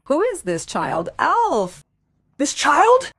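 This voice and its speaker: A woman's voice: sits high, wildly swooping pitch, drawn-out words, fairly bright tone, dry recording, clean studio sound, exaggerated voice. Actress doing a freaked out, suspicious woman in a radio thriller, voice swooping all over. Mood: horrified. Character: distraught, high-strung woman, horrified and suspicious. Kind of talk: crime radio play acting.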